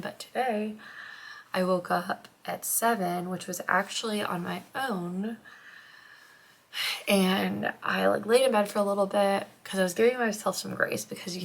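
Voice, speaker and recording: A young woman vlogging as a stay-at-home mom, chatting casually and rambling with lots of "like", her voice slightly hoarse and congested after being sick, sometimes dropping to a soft near-whisper. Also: on the low side. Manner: chatty, rambling, heavy use of "like". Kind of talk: casual chat to camera. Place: home, getting ready.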